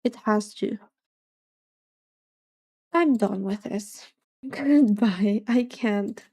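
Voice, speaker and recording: A young British woman with a soft voice, chatting casually on a livestream with languid, drawling complaints. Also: mid-range voice, drawn-out words. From Britain. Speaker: streamer.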